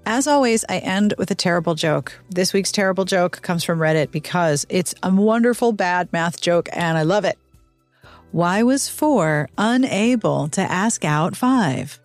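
Female podcast host, lower register, dry close sound with faint music behind. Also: animated, a little slow, dry recording. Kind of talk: podcast outro and host-read ad.

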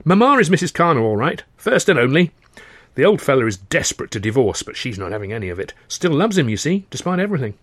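A man's voice: mid-range voice, big pitch swings, fast talker, dry recording, voice pushed up. A man voicing a cheerful, gossipy upper-class young man with a chummy, posh-sounding manner.

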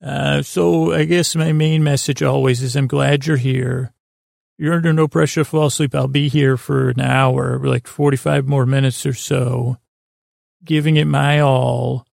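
Very creaky male podcast host, rambling. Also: medium pitch, little pitch movement, a little mellow, no room sound, studio quality. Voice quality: creaky, gravelly. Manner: meandering, halting, stammering and repeating himself; lulling, soothing. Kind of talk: rambling bedtime sleep-podcast monologue.

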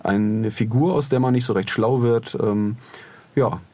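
A man hosting a podcast in casual chat, rambling along with a halting delivery. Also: on the low side, warm tone, no room sound. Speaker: podcast host.

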